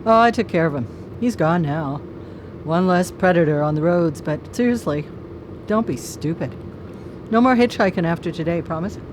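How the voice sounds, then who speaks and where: A woman's voice: lowish voice, lively intonation, normal speed, background noise, close to an everyday voice. An actress playing a seductive, taunting, menacing woman killer in a live radio drama. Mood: coolly menacing.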